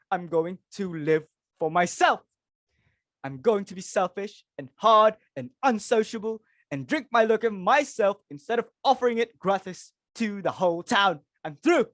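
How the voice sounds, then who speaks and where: Woman playing imperious society mother, middle-aged, highly animated pitch, exclamatory, stage comedy, dry close sound. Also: dry recording, close to an everyday voice. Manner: dramatic, exclamatory, repeating herself for emphasis. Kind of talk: dramatic reading of a stage comedy.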